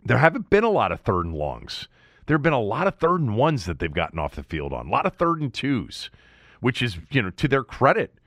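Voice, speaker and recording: A man's voice: mid-range voice, huge pitch swings, fairly quick, a bit bright, dry recording, studio quality. A man hosting a sports talk show, explaining his analysis in an emphatic, conversational monologue, thinking aloud as he goes.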